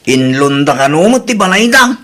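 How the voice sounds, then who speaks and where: A man's voice: a little high, very animated, moderate pace, fairly bright tone. A man with an Ilocano accent playing a plain-spoken, concerned village husband in a conversational audio drama.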